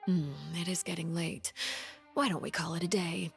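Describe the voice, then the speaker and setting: A woman's voice: lowish voice, level tone, normal speed, soft background music, natural voice. An actress playing a coolly polite noblewoman interrogator in a game, formal and silky with veiled mockery. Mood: politely sly. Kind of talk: anime-style game voice acting.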